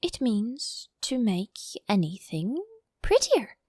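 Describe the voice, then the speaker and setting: A woman's voice: fairly high pitch, sing-song, slow, a little mellow, slightly rough, no echo, clean studio sound, heavily acted. A woman voicing a polite, curious, slightly indignant little girl in a storybook, prim and questioning. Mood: prim, defensive. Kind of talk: audiobook character voice.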